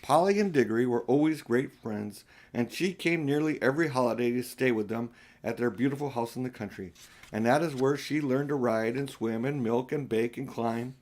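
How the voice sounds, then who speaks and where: A man's voice: medium pitch, normal speed, a little mellow. An older man narrating a children's storybook as an audiobook reader, occasionally stumbling and restarting a phrase. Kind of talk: storybook narration read aloud, with small slips and restarts.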